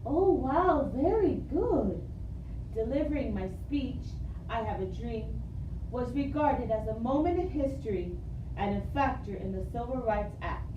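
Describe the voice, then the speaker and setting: A woman's voice: on the high side, expressive, unhurried. A woman voicing a dignified male civil rights leader with formal, recited delivery in an amateur educational puppet show. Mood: dignified, proud. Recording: echoey, distant, muffled, noisy room recording.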